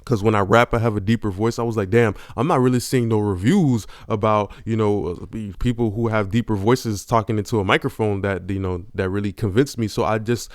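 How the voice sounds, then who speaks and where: A man runs a casual mixing tutorial in a relaxed, slangy way, with plenty of "you know" fillers. His lowish voice comes through smooth and crisp on a large-diaphragm condenser. The sound is dry and close, with little room around it. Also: a little fast, few pauses, dry recording. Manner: laid-back slangy delivery with many fillers. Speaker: YouTube host teaching vocal mixing. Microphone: large-diaphragm studio condenser.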